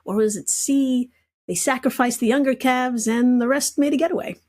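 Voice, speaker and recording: A woman's voice: sits high, moderate pace, darkish tone. A giggly, irreverent woman reading out a comedic quiz casually as a podcast guest.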